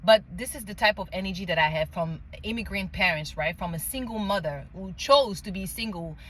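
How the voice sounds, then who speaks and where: A woman's voice: medium pitch, a little fast, a bit bright. French-accented woman talking over background noise. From France and Mali. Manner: emphatic, often checks in with "okay?" and "right?". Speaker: spiritual vlogger sharing personal story. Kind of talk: casual unscripted personal storytelling.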